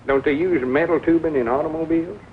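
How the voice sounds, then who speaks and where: A man plays a folksy Southern storekeeper with a slightly high male voice. His phrasing is rustic and drawling. The vintage film audio is thin and narrow with faint hiss. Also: fast talker, lightly acted. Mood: matter-of-fact. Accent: rural Southern US. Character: folksy, obliging small-town country storekeeper. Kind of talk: 1940s radio-comedy acting. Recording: narrow old film soundtrack, light hiss.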